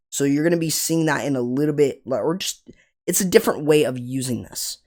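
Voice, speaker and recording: A man with a voice roughened to a rasp talks through a game strategy guide in a relaxed, chatty way. His pitch sits in an ordinary middle range, and he explains each step plainly, often correcting himself mid-sentence. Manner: casual, explanatory, self-correcting. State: raspy voice. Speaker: gaming channel host.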